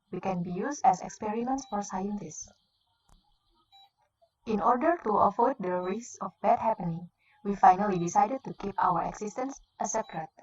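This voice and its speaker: A woman's voice: medium pitch, darkish tone, natural voice. Composed female leader played by a woman, Indonesian accent, formal radio drama address, lo-fi and distant. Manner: formal, explanatory.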